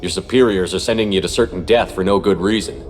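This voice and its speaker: Actor as blunt male soldier, low voice, quick delivery, self-assured, game dialogue in a reverberant space. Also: soft background music, natural voice. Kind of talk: video game voice acting.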